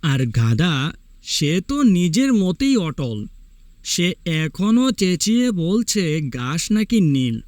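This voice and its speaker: A man narrating a children's cartoon story in an animated storytelling style. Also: high-pitched, takes it slowly, dry recording.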